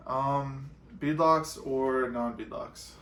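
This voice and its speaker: A man's voice: medium pitch, steady tone, takes it slowly, fairly bright tone. A young American man co-hosting an off-road vlog, reading out questions and giving practical, matter-of-fact answers in casual chat. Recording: roomy echo with some background noise.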